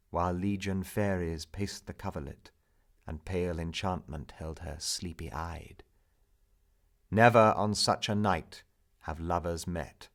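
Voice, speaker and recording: A man with a lowish voice recites narrative poetry very slowly. His delivery is theatrical and measured, swelling on some phrases and dropping to a hush on others.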